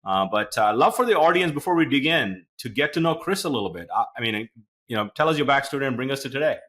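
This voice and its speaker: A man hosts a podcast in a bright, forceful voice at a middling pitch. He is from India and talks in a chatty, rambling way, stacking fillers and asides as he leads into his questions. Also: lively intonation, fast talker. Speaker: podcast host. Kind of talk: podcast interview hosting.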